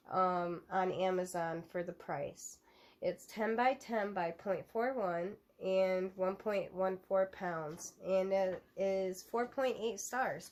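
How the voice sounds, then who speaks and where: A woman's voice: mid-range voice, a little mellow. A young woman with a stuffed-up cold, chatting casually through a coloring book flip-through with many ums and restarts. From United States.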